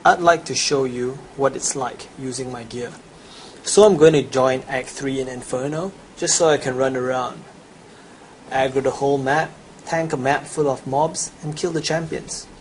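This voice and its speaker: A man's voice: medium pitch, fairly even intonation, normal speed, a little hiss or hum, poor audio. A young man with a Chinese accent, boasting through a promotional gameplay voice-over as he lists his gear and stats. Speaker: gamer promoting a gold guide.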